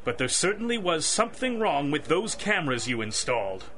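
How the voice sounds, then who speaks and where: A man's voice: on the high side, big pitch swings, fairly quick, pushed and bright, a little hiss or hum. A man playing a blustery, defensive police chief in 1930s-style radio drama, officious and blunt. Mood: defensive, stern.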